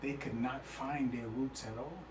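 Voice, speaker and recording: A man's voice: mid-range voice, fairly even intonation, moderate pace, reverberant. A man teaching a religious class, emphatic and repeatedly checking that his listeners understand. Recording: faint hiss, speaker far from mic.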